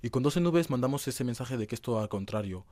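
A man's voice: mid-range voice, expressive, a little fast, darkish tone, dry recording. A young man, giving conversational answers as an interview guest. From Madrid, Spain. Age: young adult.

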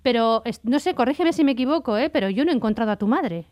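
Woman, radio host, slightly high voice, reflective, measured. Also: animated, dull audio. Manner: thoughtful, measured questions with tag "¿no?". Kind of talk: radio interview.

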